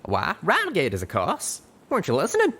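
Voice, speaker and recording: A man voicing a friendly, blunt, folksy female pony from a rough town. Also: high-pitched, wildly swooping pitch, a little fast, fairly bright tone, voice pushed up, exaggerated voice. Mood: chiding.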